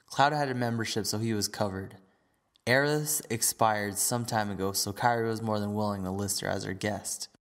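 A man's voice: on the low side, fairly even intonation, normal speed, fairly bright tone. A man narrating a scripted story as the narrator of an audio drama.

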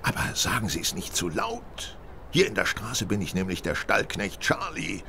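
Sardonic know-it-all detective, audio drama, gravelly, very swoopy delivery. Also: male voice, low voice, very animated, moderate pace, bright, forceful tone, a little hiss or hum, heavily acted. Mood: smug. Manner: sardonic, theatrical, self-assured. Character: shrewd, world-weary, superior male detective. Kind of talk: German audio drama acting.

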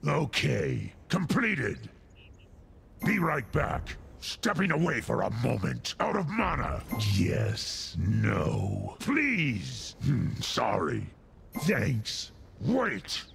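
Gravelly, menacing fire giant warlord. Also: male voice, mid-range voice, very animated, fairly bright tone, a little hiss or hum, exaggerated voice. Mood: curt. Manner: commanding, imperious, deliberate delivery. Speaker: video game character voice. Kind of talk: theatrical game voice lines and battle callouts.

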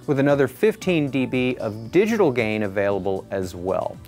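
Man narrating with lively, varied pitch. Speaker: product reviewer. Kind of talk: scripted product review narration. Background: background music.

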